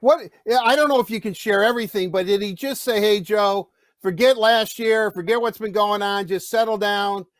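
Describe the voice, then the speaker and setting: A middle-aged man hosting an interview, asking long, rambling questions in a big, loud voice. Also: high voice, moderate pace, no echo, clean studio sound. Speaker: radio host.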